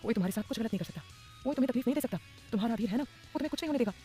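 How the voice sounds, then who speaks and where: A woman's voice: on the high side, lively intonation, racing, mellow, faint music behind, natural voice. A woman playing an obsessive, menacing mafia boss. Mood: possessive. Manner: threatening, possessive, intense.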